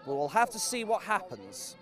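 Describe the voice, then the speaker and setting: A man from Norwich answering street interview questions earnestly, pausing to search for words. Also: sits high, very animated, a bit bright, soft background music. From Norwich, England. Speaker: interviewee, rally supporter.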